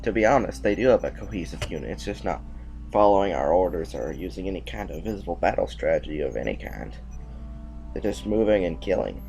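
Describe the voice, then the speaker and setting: A dutiful, socially awkward military aide voiced by a man in an audio drama. The voice is deep and gravelly with lively swings in pitch, formal and hesitant, with noise and hum behind it. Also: fairly quick, low-quality recording. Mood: dry, glum.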